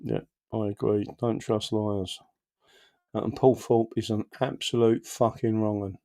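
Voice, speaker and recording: A man's voice: lowish voice, steady tone, unhurried, warm tone. A man co-hosting political commentary, explaining carefully with hesitations. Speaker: co-host.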